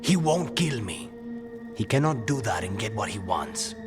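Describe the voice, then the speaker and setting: A weary, guarded man haunted by a demon, in a fantasy game. His voice is fairly low, with clear music behind. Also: very animated, unhurried. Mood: weary, insistent. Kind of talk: video game voice acting.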